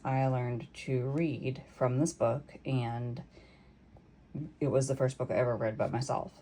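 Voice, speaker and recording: A woman's voice: a bass voice, dark tone. A woman in her thirties reading a children's book aloud with playful, informal, self-deprecating asides. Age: 30s. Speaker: amateur storyteller.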